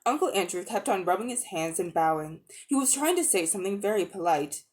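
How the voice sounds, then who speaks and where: A woman's voice: medium pitch, animated, fairly quick, darkish tone. A woman reading a children's fantasy story aloud as a dramatic narration. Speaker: audiobook reader.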